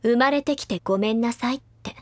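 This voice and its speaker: A woman playing a confident, teasing high-school senior girl who recounts gossip in a feminine storytelling way in an audio drama. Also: quick pace, darkish tone, lightly acted. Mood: grave recounting. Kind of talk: anime audio drama dialogue.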